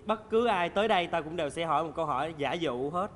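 A man's voice: on the high side, lively intonation, quick pace, a bit bright, a little hiss or hum. A man in his twenties with a Southern Vietnamese accent, hosting a talk show in casual, teasing chat. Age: 20s. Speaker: talk show host playing a shop owner. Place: large indoor studio set of an old shop. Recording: music behind the talk.

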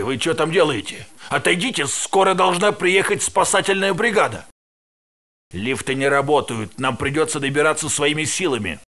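An actor playing a wary, chatty male security guard in a game, brisk and wisecracking. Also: medium pitch, bright, forceful tone. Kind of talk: game voice acting.

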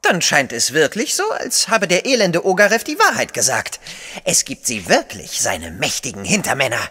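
An actor playing an astonished, excitable companion in an audio drama, with a husky voice. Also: male voice, fairly high pitch, moderate pace, in a large hall, full character voice.

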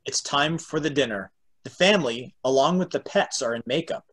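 A man with a husky voice reading stage directions as narrator of an amateur table read. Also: medium pitch, normal speed, a bit bright. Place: home room, on a video call.

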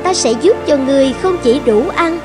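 High-voiced cunning sea fairy. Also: female voice, animated, fairly quick, over music. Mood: commanding. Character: cunning, lonely sea fairy granting bargains. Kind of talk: animated fairy-tale dialogue.

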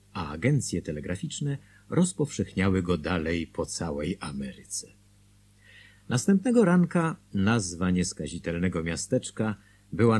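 A man playing a measured storyteller, narrating a scripted radio drama in a husky voice. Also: low voice, a little mellow, full character voice.

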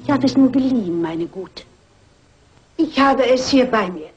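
A woman's voice: on the high side, animated, normal speed, darkish tone, lightly acted. An actress playing a shrewd, composed mature lady sleuth in a German radio crime drama, dry, precise and dignified, in a dull old recording. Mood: calm, accusing.

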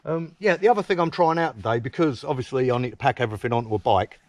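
A man's voice: medium pitch, fast talker, a little mellow, no echo. Cheeky man in the woods. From England. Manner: cheeky, teasing banter with dry self-mockery. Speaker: camping vlogger. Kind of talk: casual outdoor chat to camera. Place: woodland camp outdoors. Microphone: camera mounted close on a tripod.

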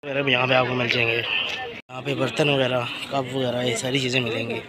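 A man's voice: mid-range voice, little pitch movement, fairly quick, a loud crowd. A young man from Pakistan casually narrating a walking vlog outdoors, pointing things out as he goes and talking into a handheld phone. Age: young adult. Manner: chatty, pointing things out as he walks. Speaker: vlogger. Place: outdoors on streets and a market. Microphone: phone camera held in hand. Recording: loud outdoor street and traffic noise.

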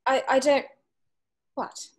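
A woman's voice: takes it slowly, close to an everyday voice. A woman reading an earnest, conflicted young heroine in a film script table read over a video call. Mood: flustered.

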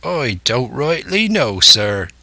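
A man acts a grey-haired old seaman from a storybook. He is sheepish, meek and slow-witted, answering with rough grammar in a dry, close sound. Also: mid-range voice, big pitch swings, sharp tone, no echo, exaggerated voice. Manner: deferential, unschooled sailor grammar.

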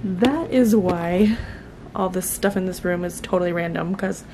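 A woman's voice: fairly low pitch, unhurried. A woman in her thirties chatting informally and self-deprecatingly to her camera in a small bedroom, as a vlogger. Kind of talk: casual vlog chat to camera. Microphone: camera at arm's length or set nearby. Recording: noisy camera audio with room hum.

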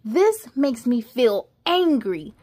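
A woman voicing a little girl with big, changing feelings in a children's storybook, slow and expressive. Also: a little high, pitched below the performer's own voice, full character voice. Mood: angry. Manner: slow, exaggerated, expressive delivery. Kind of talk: children's storybook read-aloud, acted out.

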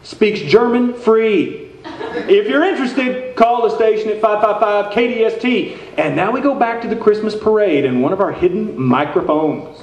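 Man playing a high-voiced, folksy small-town radio host. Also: lively intonation, normal speed, darkish tone. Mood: flustered, announcing. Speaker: radio host in a comedy stage show. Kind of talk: staged comic radio-show reading. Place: theater stage before an audience. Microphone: stand microphone. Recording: echoey stage hall, live.

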